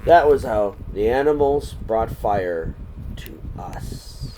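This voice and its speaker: A man's voice: lowish voice, slow. Man reading a storybook aloud over room noise. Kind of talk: children's storybook read-aloud. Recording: steady air-conditioner noise behind.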